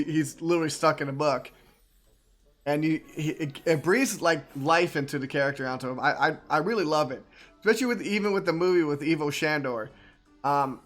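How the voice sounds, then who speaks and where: A man's voice: a little high, a bit bright. A man streaming casual, rambling commentary on game cutscenes, enthusiastic and often repeating himself.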